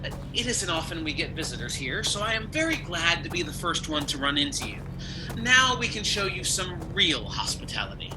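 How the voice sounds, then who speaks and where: A woman's voice: fairly low pitch, very animated, brisk, music in the background. A woman playing an anxious, humbly polite householder in a radio play, hesitant and deferential. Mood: anxiously polite. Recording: noisy home video-call recording.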